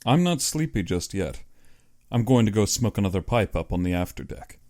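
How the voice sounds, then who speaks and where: A man's voice: lowish voice, very animated, normal speed, a little mellow, no room sound, studio quality. A man voicing a pragmatic, dismissive big-game hunter in an audiobook, blunt and self-assured. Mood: relaxed. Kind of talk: audiobook character dialogue.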